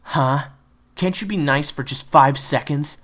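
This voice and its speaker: A man's voice: a little high, measured pace, voice pushed up. A man voicing a spunky, bossy teenage schoolgirl who scolds and insists. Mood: scolding. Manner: insistent, scolding, sharp-tongued.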